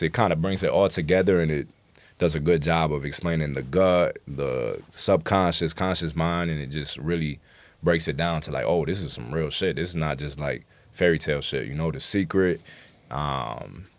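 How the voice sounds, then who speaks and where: A man's voice: very deep voice, dry recording. A man chatting casually as an interview guest, explaining things earnestly in street slang. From New York City.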